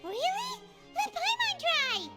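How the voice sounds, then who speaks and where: A tiny game fairy girl, with an extremely high, squeaky voice that swoops about constantly. She is chatty, curious and excitable, with loud music playing behind her. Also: a little slow, heavily acted. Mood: excited, indignant. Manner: refers to self in third person, excitable. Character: small childlike fairy girl companion, bubbly, curious, chatty. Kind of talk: video game character acting.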